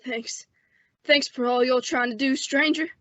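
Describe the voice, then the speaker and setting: A woman's voice: sits high, fairly even intonation, measured pace, fairly bright tone, dry recording, dull audio. A woman playing a badly wounded, dying man in a radio western, weak and in pain. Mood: weak, resigned. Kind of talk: old-time radio western acting.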